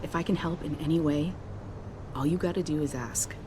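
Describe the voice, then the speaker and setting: An actress playing a loyal, candid female pilot in a video game, speaking in a low, level, often soft voice. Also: fairly quick, background noise, close to an everyday voice. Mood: steady.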